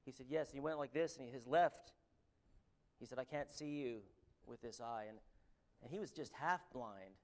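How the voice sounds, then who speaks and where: A man with a Canadian accent delivers a church sermon in a mid-range voice. His delivery is earnest and halting, full of ums and restarts. He reads scripture aloud between plain, friendly remarks. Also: mid-range voice. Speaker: preacher.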